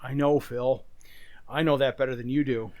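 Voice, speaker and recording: A man acting a troubled, forgetful factory boss in an old-style radio drama, halting and uneasy. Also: mid-range voice, steady tone, darkish tone, no echo, close to an everyday voice. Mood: uneasy, defensive.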